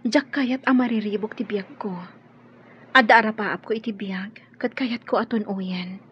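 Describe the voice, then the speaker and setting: A woman's voice: mid-range voice, fairly even intonation, moderate pace, dull audio, slight background noise, close to an everyday voice. An actress with an Ilocano accent playing a modest, guarded young servant girl in a radio drama. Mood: timid, pleading.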